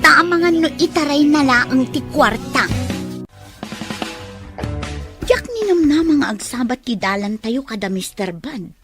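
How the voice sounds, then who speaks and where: High-voiced, giggly young Filipina in love. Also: female voice, expressive, normal speed. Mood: concerned. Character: giggly, smitten young woman confiding in her friend. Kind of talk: Filipino radio drama acting. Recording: radio drama with music underneath.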